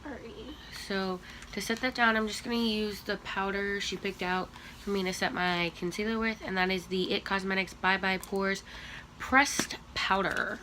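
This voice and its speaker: A young woman with allergy sniffles, slowly talking through a makeup tutorial as she applies products. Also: medium pitch, level tone, pushed and bright, slight background noise. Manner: slow, chatty, talking while applying makeup. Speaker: beauty vlogger.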